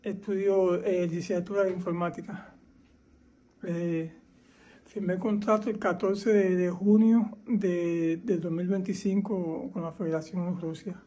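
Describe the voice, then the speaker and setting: A man in his forties from Cuba with an eastern Cuban accent, a captured soldier giving halting, factual recorded testimony. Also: high voice, little pitch movement, mellow. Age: 40s.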